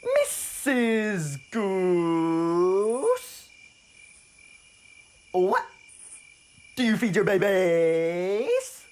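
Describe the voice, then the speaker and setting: A man voicing a curious, naive little frog for a children's story, asking questions in an exaggerated, drawn-out way. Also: high-pitched, big pitch swings, darkish tone, pitched above the performer's own voice, exaggerated voice. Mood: earnest, curious. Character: curious little wide-mouthed male frog, earnest and naive.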